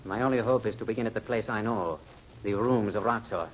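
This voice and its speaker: Actor as deep-voiced proud young Bedouin chief. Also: male voice, brisk, a little hiss or hum, close to an everyday voice. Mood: proud, guarded. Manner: formal, stately phrasing. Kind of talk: 1940s radio drama acting. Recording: muffled old radio recording.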